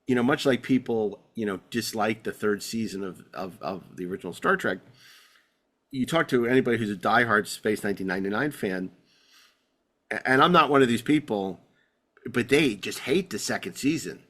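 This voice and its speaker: A man's voice: on the low side, expressive, normal speed, a bit bright. A man hosting a podcast in casual, chatty conversation, talking like an enthusiastic fan. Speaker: podcast host. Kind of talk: casual chat.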